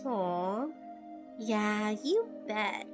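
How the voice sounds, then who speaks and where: A woman doing amateur game voice acting as a spirited, earnest teenage girl adventurer who talks in a casual, expressive way. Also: mid-range voice, very slow, music in the background. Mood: friendly, casual. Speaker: amateur voice actor playing through a game.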